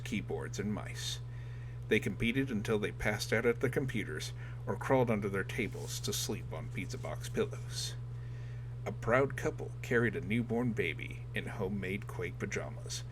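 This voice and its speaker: Man reading dramatically over clear background noise. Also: mid-range voice, fairly bright tone, rough recording. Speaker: audiobook reader. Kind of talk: dramatic scripted narration.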